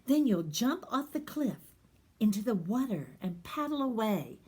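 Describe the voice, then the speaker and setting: A woman's voice: fairly high pitch, big pitch swings, a little slow, slightly rough, exaggerated voice. Woman voicing an encouraging puffin mother. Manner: gentle, encouraging. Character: caring female puffin mother.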